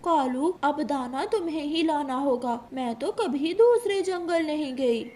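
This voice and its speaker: A woman voicing a proud, sharp-tongued mother crow in a Hindi cartoon story, with a dramatic manner. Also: high-pitched, animated, unhurried. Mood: friendly.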